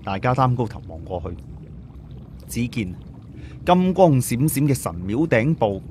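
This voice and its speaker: A man's voice: mid-range voice, sing-song, unhurried, a little mellow, slight background noise. A man with a Cantonese accent narrating an audiobook story in a dramatic, scripted storytelling style.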